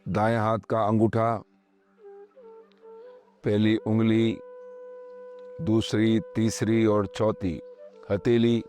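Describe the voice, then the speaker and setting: A man leading a guided meditation, speaking calmly and measuredly in short phrases with pauses, as slow instructional narration. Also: lowish voice. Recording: voice over background music. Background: meditation music.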